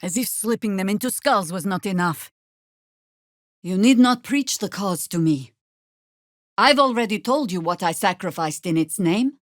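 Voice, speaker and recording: An actress playing a seasoned, middle-aged druid warrior in a fantasy video game, wry and commanding, with a dry, sardonic, measured delivery. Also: female voice, medium pitch, animated, dry recording, close to an everyday voice. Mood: grave, measured.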